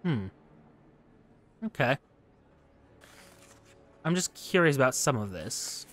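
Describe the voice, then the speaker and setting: A man's voice: medium pitch, sing-song, takes it slowly, a bit bright. A man hosting a game playthrough, reading text aloud and commenting in an articulate, dryly understated way. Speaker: gaming video host.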